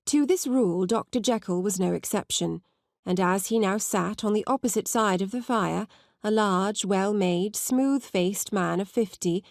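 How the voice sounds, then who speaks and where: A woman narrates a classic novel in a mid-range voice, recorded dry and close. Her scripted delivery is measured and articulate. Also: mid-range voice, creaky, no echo. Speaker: audiobook narrator.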